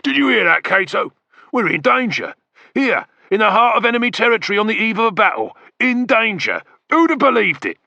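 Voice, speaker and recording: A man playing a gruff centurion. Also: mid-range voice, lively intonation, moderate pace, pushed and bright, dry recording, recorded from a distance, muffled recording. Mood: sarcastic. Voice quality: growling, brusque. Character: gruff blunt veteran male soldier.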